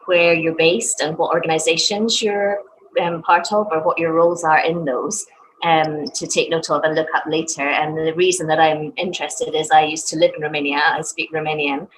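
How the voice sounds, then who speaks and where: A woman's voice: low-pitched, steady tone, normal speed. A woman volunteering with a charity, politely asking questions in an online discussion, with many "um" fillers. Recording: noisy background.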